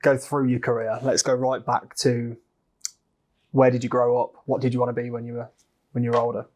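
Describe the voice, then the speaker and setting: A man's voice: medium pitch, monotone, brisk, a little mellow. A man hosting a casual interview, asking questions in an informal, conversational way. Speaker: interviewer, podcast host. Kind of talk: casual chat.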